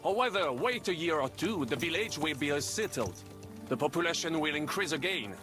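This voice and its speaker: A male actor plays a worldly French mercenary from a medieval video game. His voice sits fairly high and has a French accent, and he lectures pragmatically with French phrases mixed in. Music and horse hooves run clearly behind him. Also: animated, normal speed, natural voice. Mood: matter-of-fact. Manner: lecturing, matter-of-fact, peppered with French phrases.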